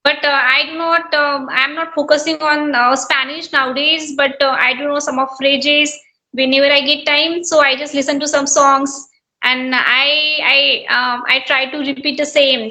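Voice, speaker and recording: Bright high-voiced woman on a call. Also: steady tone, normal speed, poor audio. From Maharashtra, India. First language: Marathi. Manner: polite, encouraging, many fillers. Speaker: host, English learner. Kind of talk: casual conversation practice over video call. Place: small village home.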